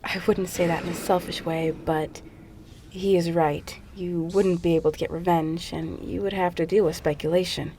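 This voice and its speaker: A woman playing an earnest scientist giving a briefing, measured and explanatory, in an audio drama. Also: fairly low pitch, fairly even intonation, warm tone, a little hiss or hum, lightly acted.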